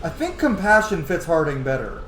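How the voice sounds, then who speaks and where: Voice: medium pitch, big pitch swings, normal speed, distant mic, a crowd in the background. A gamer giving casual, sweary and enthusiastic let's play commentary while playing a video game. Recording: echoey room, loud game music behind. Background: loud video game music.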